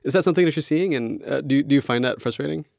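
A man's voice: mid-range voice, fast talker, gravelly, darkish tone, dry recording, clean studio sound. A man hosting a podcast interview in a conversational style.

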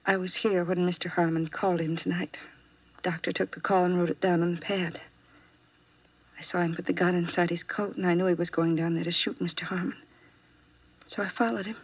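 An actress playing a young nurse who confesses to a killing in a 1950s radio drama, speaking quietly, with some words whispered. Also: female voice, lowish voice, flat delivery, fairly quick, slightly rough. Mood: confessing. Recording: dull old radio transcription.

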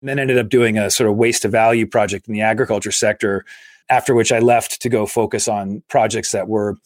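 A man in his forties from Massachusetts, a guest explaining his work in a steady, measured way during an interview. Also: fairly low pitch, no echo, studio quality. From Massachusetts, USA. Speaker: guest, infrastructure investor. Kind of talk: conversational interview.

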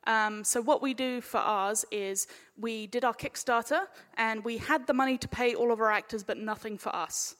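A woman's voice: mid-range voice, a bit bright. A woman talking casually on a live panel, chatty and informal, slipping into imagined quotes as she explains. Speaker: panel speaker, audio drama maker. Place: hall at a live convention panel. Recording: echoey hall with long reverb.

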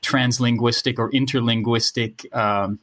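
A man whose English carries his talks as a podcast guest. His voice sits at an ordinary middle pitch, and he muses about ideas in long abstract sentences, often restarting his phrases. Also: fairly even intonation, measured pace, a bit bright. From Netherlands. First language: Dutch. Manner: musing, abstract, many restarts. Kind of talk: casual podcast conversation.

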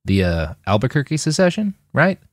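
A man's voice: mid-range voice, very animated, normal speed, dry recording, studio quality, voice pushed up. A man voicing a laid-back, wry cyborg soldier of few words in an audiobook. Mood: easygoing.

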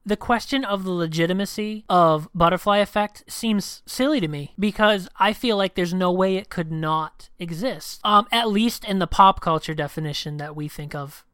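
A man's voice: high voice, normal speed, hardly any pauses, fairly bright tone, clean tone, no room sound, clean studio sound. A young man co-hosting a casual podcast, thoughtful and a bit hesitant. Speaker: podcast co-host. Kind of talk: casual chat.

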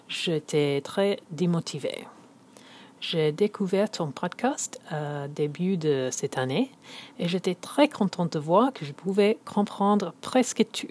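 A woman from South Africa, a native English speaker, reads a message she has prepared in advance. Her voice sits a little low for a woman. She speaks carefully, like a learner choosing each word. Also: darkish tone. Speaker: listener sending a message.

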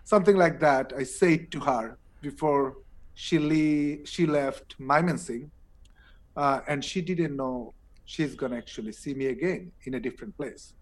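A man's voice: mid-range voice, unhurried. A man with a Bangladeshi English accent, telling his story as a guest in a casual interview and laughing between points.

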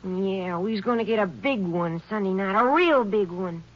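A woman's voice: medium pitch, animated, normal speed, a little hiss or hum, close to an everyday voice. An actress playing a feisty, sullen country girl outlaw in a 1950s radio western, with a rural Texas accent and whiny, defiant, ungrammatical talk. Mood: sullen, matter-of-fact. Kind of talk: 1950s radio drama acting. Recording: muffled vintage radio recording.

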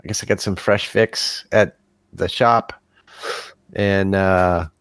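A man's voice: low voice, steady tone, slow, a bit bright, clear, resonant tone, no echo. A man chatting casually on a podcast while congested from a cold, rambling in a self-deprecating way. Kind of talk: casual chat.

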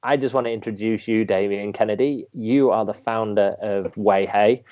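A man's voice: lowish voice, steady tone, moderate pace, muffled recording. A man hosting a podcast, introducing his guest with enthusiasm and casual fillers. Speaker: podcast host. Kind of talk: casual interview.

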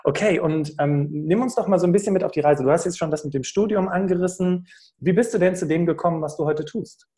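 A man's voice: high voice, fast, mellow. A male podcast host who interviews a guest in a friendly way, with fillers and restarts.

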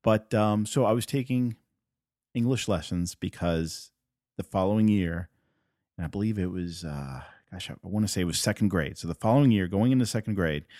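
A man's voice: sits low, darkish tone, no room sound, studio quality. A man from Puerto Rico whose first language is Spanish, hosting a podcast and talking earnestly and emphatically, driving points home by repeating them. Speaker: podcast host. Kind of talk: motivational solo podcast talk.

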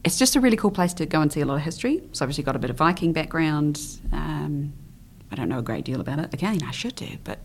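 A young woman from the Isle of Man speaks in a noticeably low register. She talks casually and chattily as an interview guest, often pausing with ums and letting phrases trail off. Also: expressive, slight background noise.

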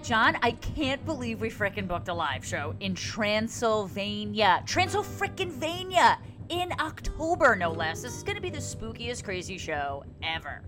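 A woman playing an excitable, teasing podcast co-host in a conversational comedic audio drama. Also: medium pitch, unhurried, few pauses, music in the background. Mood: thrilled. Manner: exclamatory, sarcastic, teasing. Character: excitable, teasing female podcaster travelling by train. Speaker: podcast co-host acting as herself.

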